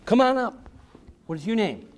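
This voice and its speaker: American guy talking to a group of kids like a storyteller, really expressive swoopy voice, encouraging. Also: sits high, moderate pace. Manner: theatrical, encouraging, simple emphatic phrasing. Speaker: author and speaker. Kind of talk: storytelling talk to children. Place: public library event before children.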